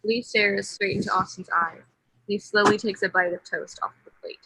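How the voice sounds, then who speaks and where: A woman's voice: mid-range voice, steady tone, moderate pace, vocal fry, bright, forceful tone, breathy edge, low-quality recording. A woman narrating a play, reading the stage directions as scripted narration.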